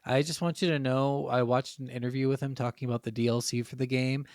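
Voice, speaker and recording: A man's voice: mid-range voice, steady tone, fast, darkish tone, no echo, studio quality. A young man co-hosting a gaming podcast, chatting casually in an opinionated, sweary way. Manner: opinionated, profanity-laced. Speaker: podcast co-host.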